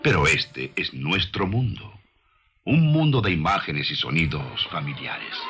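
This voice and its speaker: Deep-voiced male narrator, solemn and expressive. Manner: solemn, measured, declamatory. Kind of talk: scripted religious science documentary narration. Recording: music behind the voice. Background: music.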